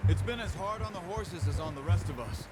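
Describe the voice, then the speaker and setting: An actor playing a quiet, measured, plain-spoken Old West outlaw and hunter with an American accent in a video game. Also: male voice, sits high, animated, very noisy. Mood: calm. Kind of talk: cinematic video game western acting. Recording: music behind the dialogue.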